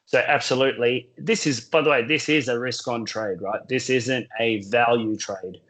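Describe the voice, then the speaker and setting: Hoarse man giving a market update. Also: mid-range voice, steady tone, a bit bright. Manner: measured, explanatory, works through points in numbered order. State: sore throat, losing his voice. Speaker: crypto market commentator. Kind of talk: solo market update recording.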